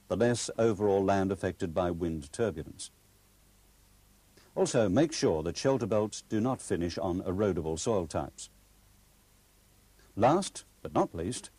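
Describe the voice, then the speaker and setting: A man reading scripted instructional video narration in a formal, measured explanatory manner. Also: low voice, dark tone, breathy edge, no echo.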